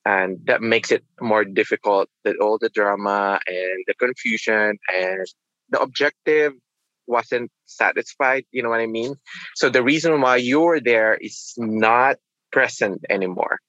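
A man, talking in a reflective, halting way as a nurse being interviewed. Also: fairly low pitch, fairly bright tone, no room sound, dull audio. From Philippines. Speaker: nurse guest. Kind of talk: casual interview.

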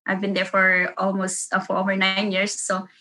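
A woman's voice: mid-range voice, completely flat delivery, moderate pace, bright, forceful tone. A middle-aged woman with a Filipino accent, a nurse telling casual stories in an interview, chatty and laughing often. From Philippines. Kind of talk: casual storytelling.

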